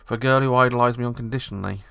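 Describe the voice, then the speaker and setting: A man's voice: medium pitch, fairly even intonation, rapid-fire, creaky, pushed and bright, smooth, no echo. A man reading the narration of a visual novel aloud, steadily, with small slips.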